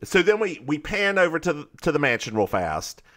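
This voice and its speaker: A Southern man with a bright voice co-hosts a casual film podcast. His delivery swings up and down, effete and playful, with folksy turns of phrase. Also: fairly high pitch, sing-song, a little fast. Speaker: podcast co-host. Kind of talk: casual film recap chat.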